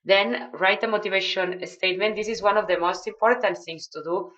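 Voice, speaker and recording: A woman's voice: mid-range voice, fairly even intonation, fairly quick, fairly bright tone, lo-fi sound. A woman with a Spanish accent, a non-native English speaker, mentoring newcomers on a video call and explaining steps with many fillers and restarts. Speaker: project mentor. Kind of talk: online video call briefing.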